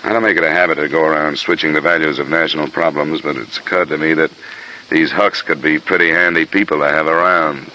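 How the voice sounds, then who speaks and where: A hard-boiled investigator in an old radio play. His low voice is forceful and clipped, dry and matter-of-fact as he presses on. The vintage recording carries a faint hiss. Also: steady tone, fast, rough recording. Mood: dry, probing. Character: hard-boiled, persistent male insurance investigator. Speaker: lead actor and narrator. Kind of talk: 1950s radio detective drama acting.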